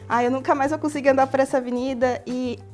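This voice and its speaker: A woman's voice: high voice, fast, faint music behind. Chatty Brazilian woman from São Paulo's interior. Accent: Brazilian Portuguese, São Paulo interior. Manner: talkative, animated, long explanations. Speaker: novelist guest. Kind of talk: TV studio interview.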